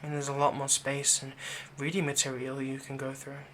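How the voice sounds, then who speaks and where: A man playing a possessive young fantasy villain in an ASMR roleplay, tenderly soothing a captive in a soft voice that often turns breathy. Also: medium pitch, completely flat delivery, brisk, slight background noise, close to an everyday voice. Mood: gentle, reassuring. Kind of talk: ASMR roleplay, intimate scripted monologue.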